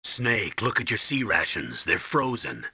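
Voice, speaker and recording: An actor playing a stern, urgent military colonel who gives mission orders in a commanding, clipped way in a video game. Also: male voice, lowish voice, big pitch swings, a little slow, sharp tone, breathy edge, no echo, full character voice. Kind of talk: dramatic video game radio briefing.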